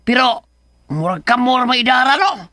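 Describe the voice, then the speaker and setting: A man's voice: very high voice, animated, moderate pace, pushed and bright, no room sound, full character voice. An actor playing a wary, teasing male sidekick in a radio drama, hesitant and protesting, with a Filipino Cebuano accent. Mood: curious. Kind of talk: Philippine radio drama acting.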